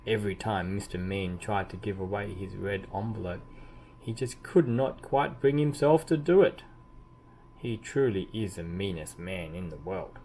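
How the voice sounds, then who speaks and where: A man reading a children's story aloud expressively, with deliberate emphasis and drawn-out key words. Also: deep voice, dark tone, low-quality recording. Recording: clearly audible background noise.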